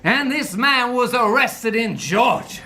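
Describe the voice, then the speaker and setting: A man's voice: extremely high pitch, takes it slowly, bright, forceful tone, slight background noise, exaggerated voice. A man voicing a smug lawyer making a mock-logical closing statement. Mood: mock-reasoning. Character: smug male prosecutor lawyer, glib. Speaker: lawyer Mister Grayson. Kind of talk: amateur radio play reading.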